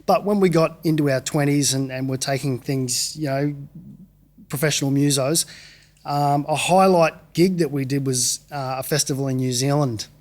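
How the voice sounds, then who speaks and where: An Australian man being interviewed as a musician, easygoing and relaxed, laughing easily and often saying yeah. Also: medium pitch, normal speed. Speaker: guest musician. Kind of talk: casual interview.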